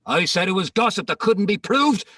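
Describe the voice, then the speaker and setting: A man's voice: high-pitched, expressive, normal speed, bright, forceful tone, dry recording, clean studio sound, recorded from a distance, full character voice. A man reciting comic verse as a bitter, bawdy husband, theatrical and scornful. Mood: bitter, wry. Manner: scornful, theatrical, relishing the insults. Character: bitter, bawdy old Irish husband ranting in court.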